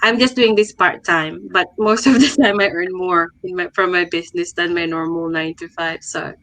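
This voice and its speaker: A woman's voice: fairly low pitch, fairly even intonation, a little fast, a bit bright, dry recording, poor audio. A woman sharing her personal story in a casual, chatty way, full of filler words like "like" and "you know". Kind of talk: personal testimonial.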